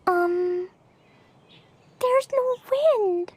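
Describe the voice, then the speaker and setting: A woman voicing a sweet, excitable and naive little girl in a video game, childlike and earnest. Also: extremely high pitch, extremely slow, slight background noise, full character voice. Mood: sad, puzzled.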